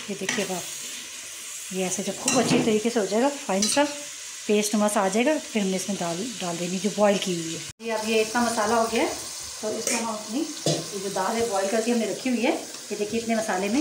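A middle-aged woman giving a casual home cooking tutorial, explaining each step with chatty asides. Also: medium pitch. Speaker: cooking vlogger. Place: home kitchen at the stove. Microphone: phone camera held over the pot. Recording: echoey kitchen with cooking noise. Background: frying and sizzling.

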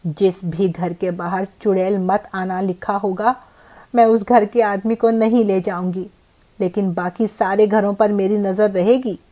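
A woman voicing a menacing, vengeful witch in an animated horror story, with taunting, drawn-out threats. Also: mid-range voice, close to an everyday voice. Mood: grudgingly respectful. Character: menacing female witch, gloating, vengeful, preys on village men.

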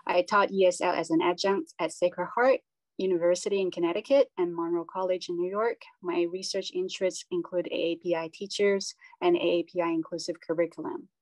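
A woman from Hong Kong and Singapore, a doctoral fellow, introducing herself on a video call in a brisk, composed manner. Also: lowish voice, steady tone, no room sound, rough recording.

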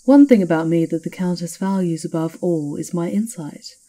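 Castle witch for ASMR fantasy roleplay, female performer: very mellow, breathy, knowing, slyly teasing, conspiratorial. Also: lowish voice, moderate pace. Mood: knowing, confiding. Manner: teasing, confiding, theatrical.

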